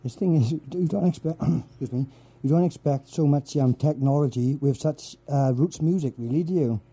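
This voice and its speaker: A man's voice: on the high side, normal speed, mellow, breathy edge. A Welsh man hosting a radio interview, speaking hesitantly with many ums and uhs. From Wales. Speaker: radio host.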